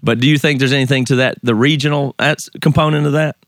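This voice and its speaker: Brief: male podcast host, Southern US accent, mid pitch, dry close mic, relaxed and chatty. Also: no echo. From American South. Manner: relaxed, laughs easily, halting restarts. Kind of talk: casual interview chat.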